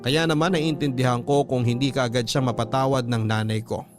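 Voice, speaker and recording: A man's voice: mid-range voice, steady tone, brisk, over music. A man with a Filipino accent reading a scripted first-person story as a radio drama narrator.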